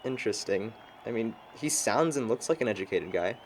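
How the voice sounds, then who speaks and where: Casting: actor as a curious young guy, lowish voice, faint noise, audio drama. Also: lively intonation, brisk, a faint crowd, close to an everyday voice, low-quality recording.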